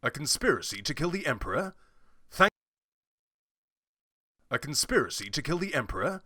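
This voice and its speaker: A man playing a grateful imperial official in a slow, theatrical fantasy game audition read. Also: on the high side, sing-song, bright, forceful tone, no room sound, clean studio sound, heavily acted. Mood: alarmed. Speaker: auditioning amateur voice actor.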